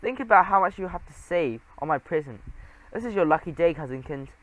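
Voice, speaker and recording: A man voicing a greedy, whiny and pushy male mouse cousin in an audiobook, loud and bossy. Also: fairly high pitch, lively intonation, a little fast, rough recording. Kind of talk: audiobook character voice.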